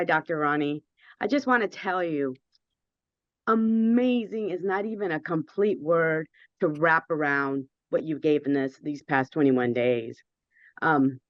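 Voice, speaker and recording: A woman in her fifties with a hoarse voice, a guest telling her story earnestly and with emphasis. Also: deep voice, no room sound, lo-fi sound. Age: 50s. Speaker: guest sharing her experience.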